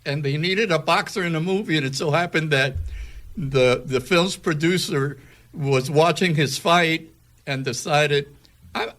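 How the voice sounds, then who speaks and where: A man hosting a casual radio interview, speaking haltingly and often repeating words mid-sentence. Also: fairly high pitch, fairly bright tone.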